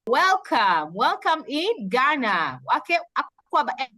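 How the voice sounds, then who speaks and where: Brief: high-pitched woman as a video-call moderator, prompting speakers and echoing their words. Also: very animated, a little slow, pushed and bright. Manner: prompting and repeating participants' words. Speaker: moderator of an online summit. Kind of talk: hosting a video call, calling on participants.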